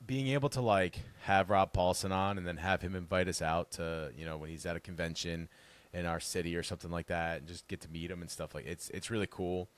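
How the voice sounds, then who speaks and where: Man with a very deep bass voice. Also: brisk. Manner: rambling, relaxed, laughs often. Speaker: podcast guest. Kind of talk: casual interview chat.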